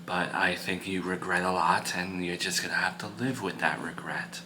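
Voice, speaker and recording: Guy putting on tired old dad, low n quiet, measured, stern, always reproaching, bit of background noise. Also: fairly even intonation, close to an everyday voice. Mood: blunt, reproving. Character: older man, stern, weary, reproachful father and grandfather.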